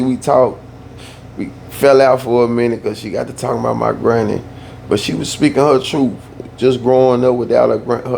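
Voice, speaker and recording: A young man from the Southern US telling a family story in a laid-back, slangy way that turns animated when he gets worked up. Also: mid-range voice, creaky, a little hiss or hum. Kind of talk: casual storytime.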